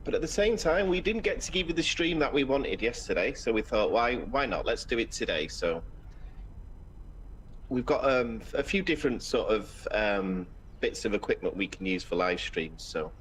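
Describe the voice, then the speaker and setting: A man's voice: mid-range voice, expressive, moderate pace, a little hiss or hum, poor audio. A Welsh man with some northern English in his accent gives casual, chatty live aviation commentary, greeting viewers in a friendly way. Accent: Welsh with northern English influence. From Wales. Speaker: live stream commentator and host.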